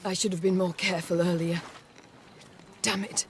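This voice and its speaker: A woman's voice: lowish voice, level tone, normal speed, background noise, natural voice. An actress playing a proud, blunt warrior queen in a video game, speaking in a formal, commanding way. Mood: stern. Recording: music behind the voice.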